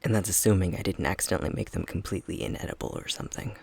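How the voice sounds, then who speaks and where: A man playing an affectionate, self-deprecating young fox-shifter boyfriend in an intimate ASMR roleplay, speaking softly and hesitantly, often half-whispered. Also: deep voice, rapid-fire, vocal fry, husky, exaggerated voice. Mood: tender, sheepish.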